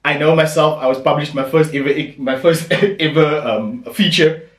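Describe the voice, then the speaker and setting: A man's voice: fairly high pitch, normal speed, distant mic. A man hosting a podcast interview, upbeat and enthusiastic, with many fillers. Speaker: podcast host. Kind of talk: casual interview chat. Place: home room. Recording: echoey room, voice off-mic.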